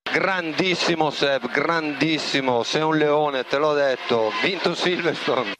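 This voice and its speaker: Slow-talking man on noisy team radio. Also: on the high side. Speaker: racing team member. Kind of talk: congratulatory team radio message.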